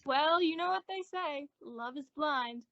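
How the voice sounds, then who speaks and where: A high voice in muffled lo-fi audio, a woman acting as a middle-aged housewife in a radio comedy. She is gentle and good-humored, soothing with a touch of teasing reproach. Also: measured pace. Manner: soothing, lightly scolding. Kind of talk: old-time radio sitcom acting. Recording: dull, lo-fi audio.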